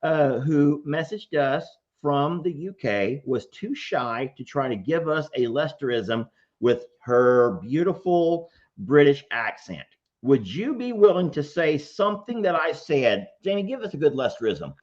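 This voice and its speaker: Folksy, animated farm show host. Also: medium pitch, unhurried. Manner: folksy, informal. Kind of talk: casual live chat with callers. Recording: dull, lo-fi sound.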